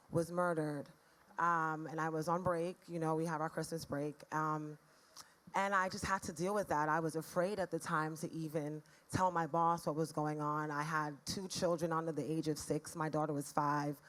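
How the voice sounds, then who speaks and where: A raspy-voiced woman from the Caribbean, telling a personal story as a panelist in an earnest, frank way. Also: low voice, a bit bright. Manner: earnest, frank, speaks of faith.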